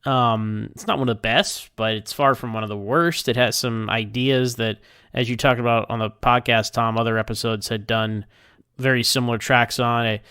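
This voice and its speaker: A man giving casual, unscripted recorded feedback as a listener, rambling and halting, often repeating words as he goes. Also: medium pitch, fairly even intonation, fairly bright tone, clear, resonant tone, no echo, clean studio sound. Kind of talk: casual, unscripted episode review.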